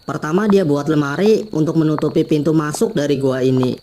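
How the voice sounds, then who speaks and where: A man voicing a curious young student, mellow. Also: fairly high pitch, fairly quick, slight background noise, natural voice. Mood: explaining. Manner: casual slangy talk. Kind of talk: cartoon voice acting.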